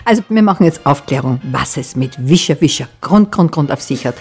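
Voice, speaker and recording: A woman's voice: low voice, animated, fairly quick. A woman with an Austrian accent hosts a radio interview in a playful, colloquial way. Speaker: radio host. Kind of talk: lively casual interview. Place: radio broadcast. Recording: music behind the voice. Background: music.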